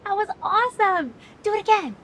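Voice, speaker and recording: A woman's voice: very high-pitched, animated, a little fast, fairly bright tone, a little hiss or hum. A young woman playing a shy, eager teenage girl in an audio drama, speaking in a hesitant way. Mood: excited.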